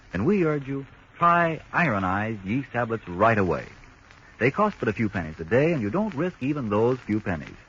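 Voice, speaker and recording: A man's voice: medium pitch, a bit bright. A man announcing on a 1940s radio program, in a polished, persuasive manner. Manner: polished, persuasive sales delivery. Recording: muffled 1940s radio broadcast, faint hiss.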